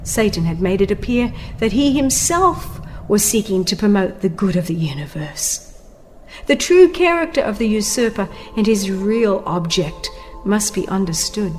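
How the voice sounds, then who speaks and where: A woman reading a religious text as a dramatized audiobook narration, solemn, emphatic and deliberate in delivery. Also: mid-range voice, darkish tone. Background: music.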